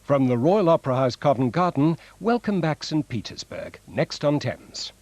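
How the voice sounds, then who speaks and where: A man's voice: a little high, lively intonation, normal speed, lo-fi sound, noisy background. A British man reading scripted announcements as a television continuity announcer.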